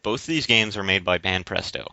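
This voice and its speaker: Podcast guy, lowish voice, hesitant roundabout explaining, dry close sound but lo-fi. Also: brisk, bright, forceful tone, no room sound. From United States. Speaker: podcast co-host. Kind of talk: casual explanatory discussion. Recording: dull lo-fi audio.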